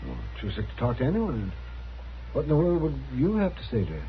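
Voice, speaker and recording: A crusty, protective American frontier doctor. His pitch moves in a lively way as he talks, testy and wry, in old radio western style. The sound is narrow and tinny with faint hiss. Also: a little fast. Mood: protective, firm.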